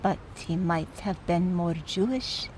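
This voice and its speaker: A woman's voice: lowish voice, slow pace, a little mellow, a little hiss or hum, rough recording. A woman playing a fond, opinionated, wry older aunt in mourning, in radio play dialogue. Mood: wry, nostalgic.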